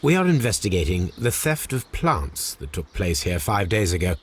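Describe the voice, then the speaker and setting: An actor playing an eccentric, cerebral Victorian English gentleman detective in a video game, speaking formally with precise, dry wit. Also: sits low, very animated, moderate pace, husky, heavily acted. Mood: polite, inquiring. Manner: formal, precise, dryly witty.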